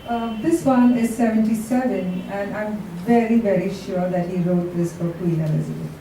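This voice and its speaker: A woman reading poetry aloud with short casual introductions, in a deliberate, drawn-out delivery. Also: medium pitch. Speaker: poetry reader. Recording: echoey room, distant mic, faint noise.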